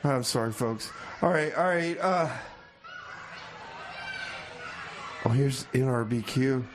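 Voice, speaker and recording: A man's voice: medium pitch, close to an everyday voice. A man as a harried radio host, noisy background. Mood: flustered. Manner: harried, halting, exasperated. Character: exasperated, harried male community radio host running a daycare. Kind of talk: music show banter and improvised comedy radio theater.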